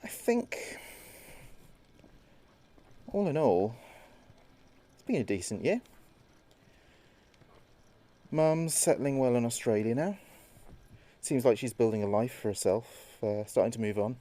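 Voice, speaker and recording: An actor playing a grieving son who talks reflectively and haltingly to his late father in an audio drama monologue. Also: mid-range voice, animated, dark tone, natural voice. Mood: subdued, wistful.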